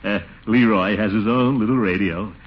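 An actor playing a flustered, pompous middle-aged uncle who blusters fussily, in the manner of 1940s radio comedy. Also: male voice, on the low side, normal speed, close to an everyday voice. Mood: exasperated. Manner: blustering, fussy chuckling. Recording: noisy muffled old radio recording.

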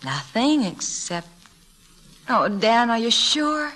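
A woman with an American accent playing a warm, romantic young schoolteacher in a classic radio drama. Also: fairly high pitch, big pitch swings, takes it slowly, exaggerated voice. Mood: tender, playful. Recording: old radio drama recording, music behind.

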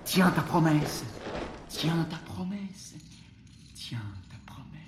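An actor playing a taunting, death-obsessed prisoner of war in a Roman dungeon, insidious and insistent, in an audio drama. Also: male voice, on the high side, fairly even intonation, reverberant, noisy background. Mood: obsessive, insistent. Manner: insidious, insistent, halting with repeated phrases. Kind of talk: audio fiction acting.

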